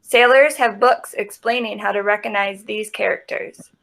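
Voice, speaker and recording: Bright, creaky-voiced woman reading aloud. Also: medium pitch, fairly even intonation, moderate pace. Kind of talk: reading a children's nonfiction book aloud.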